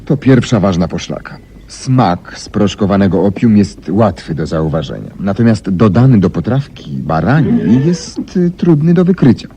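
A low, mellow man's voice as a self-assured master detective in a 1970s radio play. He lays out deductions theatrically, with big swings of pitch and deliberate pauses, on a slightly noisy old recording. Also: very animated. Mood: confident, teasing. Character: brilliant, self-assured male detective explaining his deductions. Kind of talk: 1970s Polish radio drama acting.

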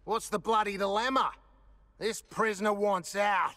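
An actor playing a cocky, crude rogue villain in a video game, brash and taunting, with an Australian accent. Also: male voice, high-pitched, steady tone, moderate pace, sharp tone, no echo. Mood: irritated. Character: cocky, crude male rogue supervillain prisoner. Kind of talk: video game cutscene acting.

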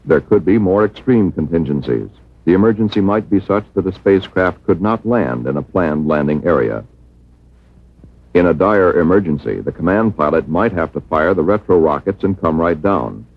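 An American man reading a scripted educational film narration in a measured, formal manner. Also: low voice, lively intonation. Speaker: documentary narrator. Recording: muffled, worn 1960s film soundtrack.

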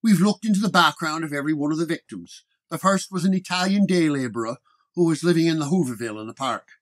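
A man voicing a gruff, hostile old-school New York police sergeant in an audio detective story, curt and sneering. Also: on the high side, fast, lightly acted.

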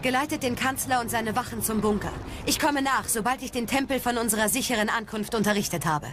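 A woman's voice: on the high side, fast, noisy background, close to an everyday voice. An actress playing a composed, vigilant female Jedi master in an audio drama, matter-of-fact and commanding.

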